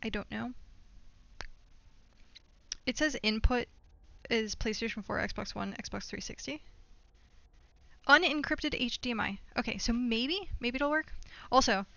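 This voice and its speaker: Young woman, casual livestream chatter, easily sidetracked; bright voice in a dry, slightly dull close recording. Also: on the high side, unhurried, creaky, long pauses, no room sound. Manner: rambling, self-distracting, thinking aloud. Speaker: live streamer. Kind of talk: casual gaming livestream chat. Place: indoor room at home.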